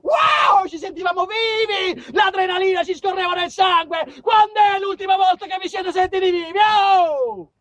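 A man's voice: extremely high pitch, normal speed, few pauses, a bit bright, no room sound, shouting, a faint crowd. A man delivering a theatrical storytelling monologue, emphatic and declamatory, repeating phrases for effect. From southern Italy. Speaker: actor-storyteller.

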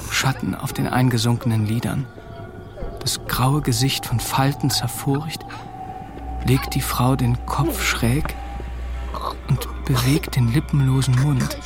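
Actor as grave male narrator, medium pitch. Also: fairly even intonation, slow, music in the background, close to an everyday voice. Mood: grave, observing. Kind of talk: literary narration in a radio drama.

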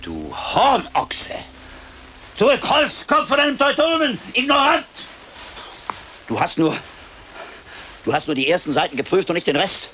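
An actor playing a sharp-minded, impatient medieval scholar monk in a German radio drama, reasoning aloud with deliberate emphasis. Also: male voice, sits high, wildly swooping pitch, a little hiss or hum, full character voice. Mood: exasperated, excited. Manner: deliberate reasoning aloud, given to exasperated outbursts.

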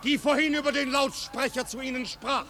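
A man's voice: very high-pitched, fairly even intonation, brisk. A man playing a snobbish marquis in a 1950s radio play, addressing his guests in formal, grandiloquent declamation. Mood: ceremonious, defiant. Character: snobbish marquis, a grand ceremonious host of lavish parties. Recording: noisy old radio recording with loud crowd.

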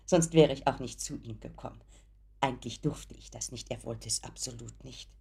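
A woman's voice: very deep voice, normal speed, vocal fry, a little mellow, slightly rough, heavily acted. A middle-aged actress in a German radio play, playing a genteel, doting mother who speaks politely, with insistent emotion. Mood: anxious, devoted. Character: genteel, anxious, doting mother of grown son.

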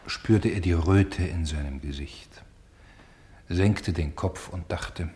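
A male narrator of a radio play with a low voice. It is husky and breathy, carrying scripted literary prose. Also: takes it slowly, warm tone.

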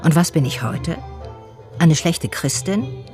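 A low-pitched, calm woman tells her story in a radio drama. Her delivery is measured and reflective, like literary narration. Music plays clearly behind her voice. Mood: pensive. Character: calm, thoughtful female narrator and concerned friend.

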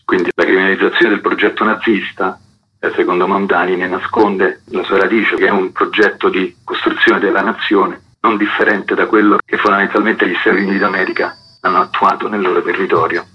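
A man interviewed on radio as a book translator, explaining in a measured, academic way. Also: low-pitched, little pitch movement, husky. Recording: dull, band-limited radio line.

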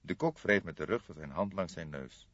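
A man's voice: low-pitched, lively intonation, moderate pace, fairly bright tone, dry recording, dull audio. A man reading scripted story narration as an audiobook narrator, close to the microphone.

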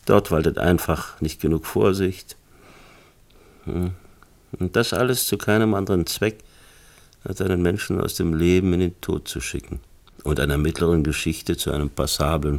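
An actor in a radio drama playing a brooding, tender film artist who talks in a hesitant, musing way. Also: male voice, moderate pace. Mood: musing, weary. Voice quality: deep, creaky. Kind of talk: German radio drama acting.

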